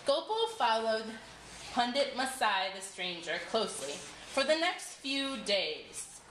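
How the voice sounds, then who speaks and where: A woman's voice: on the high side, sing-song, slow pace. A woman narrating a folktale from a script, reading it aloud as a storyteller. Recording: echoey room with clear background noise.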